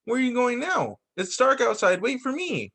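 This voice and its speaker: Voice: mid-range voice, big pitch swings, normal speed, fairly bright tone. A teacher reading a picture book aloud to children, expressively acting out the characters' lines. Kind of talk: animated children's story reading. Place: remote video story time for distance learning.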